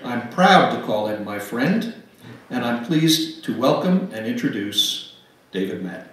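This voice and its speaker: An older man reading a measured, prepared introduction of a guest speaker from a pulpit. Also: medium pitch, big pitch swings, a bit bright. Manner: measured, read from notes. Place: church sanctuary, indoors. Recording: echoey hall, distant microphone.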